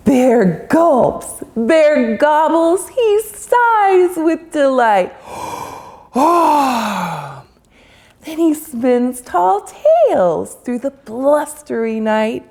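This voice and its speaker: Slow, sing-song woman reading a story. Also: high voice, sing-song, a little mellow. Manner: slow, sing-song, drawn-out rhyming delivery. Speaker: children's author reading aloud. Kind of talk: expressive read-aloud storytelling.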